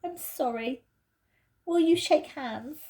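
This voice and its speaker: Storybook schoolboy, played by a woman: frank born leader, emphatic, pitched up, slow-paced. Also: mellow. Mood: frank, decisive. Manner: emphatic, old-fashioned schoolboy exclamations. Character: schoolboy older brother, frank, leading, indignant.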